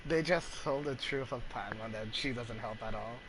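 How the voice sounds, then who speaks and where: A man's voice: mid-range voice, normal speed, background noise. A young man giving casual commentary while playing a video game. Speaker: gaming video creator. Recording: loud game music over voice. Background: video game music and sounds.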